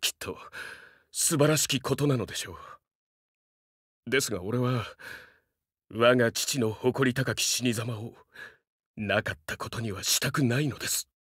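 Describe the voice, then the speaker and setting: A man's voice: medium pitch, moderate pace, long pauses, fairly bright tone, husky, no echo, clean studio sound. A man voicing a young, fiercely loyal dog-man samurai in a Japanese game, speaking earnestly in formal, archaic warrior speech with dramatic delivery. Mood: solemn, wistful.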